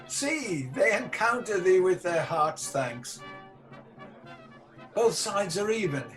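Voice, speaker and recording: Haunted tyrant king, male amateur actor, higher-pitched, Shakespearean radio drama. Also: animated, slow, a bit bright, faint music behind, heavily acted. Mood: grandly welcoming. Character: guilt-haunted tyrant king, hospitable on the surface, unravelling beneath.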